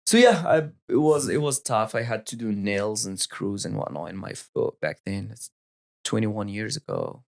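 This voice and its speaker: A man from Morocco in his thirties with a Moroccan accent, telling his life story as a podcast guest in halting English, often searching for words and restarting. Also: fairly low pitch, lively intonation, creaky, a little mellow. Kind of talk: casual storytelling interview.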